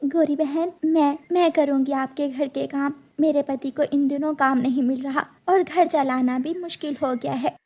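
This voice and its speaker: A worried, dutiful cartoon mother bird, voiced by a woman, very high in pitch. She talks softly and humbly, always earnest. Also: steady tone, normal speed, few pauses. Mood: humble.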